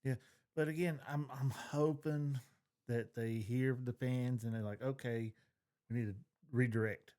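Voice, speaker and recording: A man's voice: mid-range voice, steady tone, unhurried, darkish tone. A man chatting casually as a podcast co-host, opinionated and informal, with the odd swear word. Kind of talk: casual fan discussion.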